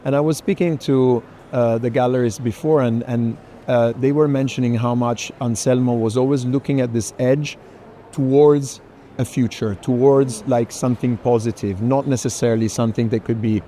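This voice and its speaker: A man leading a walking conversation through an art fair, speaking haltingly with many restarts. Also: medium pitch, steady tone, mellow, a little hiss or hum. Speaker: tour moderator.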